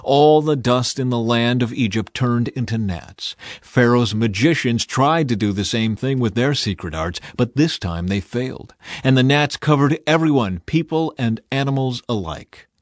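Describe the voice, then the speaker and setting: A man's voice: mid-range voice, lively intonation, normal speed, no echo, studio quality. A man reading scripture aloud as a scripted audiobook narration.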